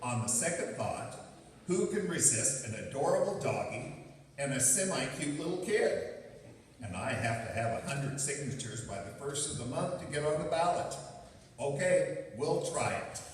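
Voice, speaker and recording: A man's voice: mid-range voice, big pitch swings, normal speed, slight background noise, lightly acted. A man playing an earnest, blustering husband with political ambitions in a stage radio play. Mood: flustered, defensive. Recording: very echoey hall, far from mic.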